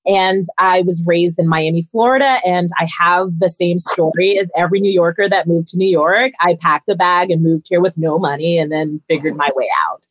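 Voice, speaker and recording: A woman's voice: fairly low pitch, steady tone, moderate pace, hardly any pauses, a little hiss or hum, poor audio. A woman from Jamaica introducing herself as a panel guest on a video call, upbeat and friendly.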